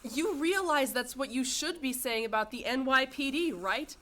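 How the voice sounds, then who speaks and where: Woman playing a hard-nosed lady cop, highish voice, skeptical and kinda dismissive, radio play. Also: lively intonation, moderate pace, fairly bright tone. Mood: skeptical, scornful. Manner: challenging, dismissive. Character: hardened, skeptical female police lieutenant. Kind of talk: radio drama dialogue.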